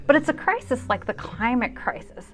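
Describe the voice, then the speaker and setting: A woman in her fifties narrating her own video in a reflective, wry, self-deprecating way with expressive emphasis. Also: fairly high pitch, normal speed. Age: 50s. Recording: music under the voice. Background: music.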